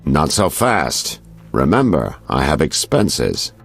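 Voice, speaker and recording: An actor playing a wily, mercenary private informant in a video game, sly and wry with a gravelly, husky voice. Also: male voice, very deep voice, huge pitch swings, takes it slowly, faint music behind, heavily acted. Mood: sly, knowing.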